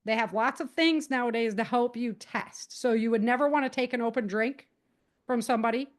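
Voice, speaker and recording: Higher-voiced woman, casual interview guest. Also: a little fast. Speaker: guest author giving safety advice. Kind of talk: casual, conversational podcast interview.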